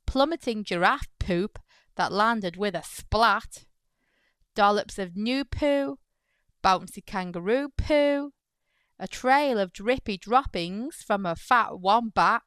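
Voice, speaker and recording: A woman hosting a children's storytime, reading a picture book aloud in an expressive, playful way. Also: a little high, smooth, no echo. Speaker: children's storytime host and narrator. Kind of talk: animated read-aloud narration of a picture book.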